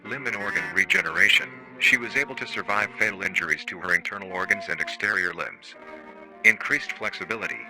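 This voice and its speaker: A man playing a formal, matter-of-fact research-facility narrator on an analog horror staff training tape, calmly chilling and euphemistic. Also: sits low, a little fast, soft background music, low-quality recording. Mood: clinical, detached.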